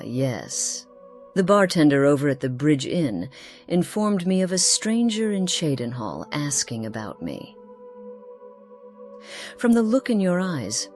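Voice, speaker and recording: A woman voicing an ageless, secretive noblewoman in a fantasy game, speaking formally with theatrical pauses, refined, wistful and sly. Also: low voice, a little mellow. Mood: probing, sly. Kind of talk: scripted game character dialogue.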